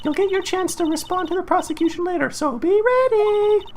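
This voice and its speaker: A woman's voice: very high voice, fairly quick, darkish tone, slight background noise, voice pushed up. A woman voicing an earnest female lawyer in her twenties, a video game mentor who gives careful instruction. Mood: earnest, cautioning.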